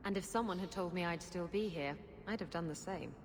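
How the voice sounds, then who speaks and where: A woman's voice: fairly low pitch, brisk, slight background noise, natural voice. An actress playing a roguish, teasing female pirate captain in a fantasy game. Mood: playful. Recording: loud music behind.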